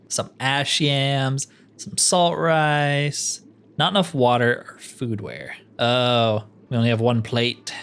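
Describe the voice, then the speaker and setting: A man's voice: mid-range voice, a bit bright, slight background noise. A man giving casual let's-play commentary, chatting and thinking aloud as he plays a fantasy game. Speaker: gaming YouTuber playing a fantasy roleplay game.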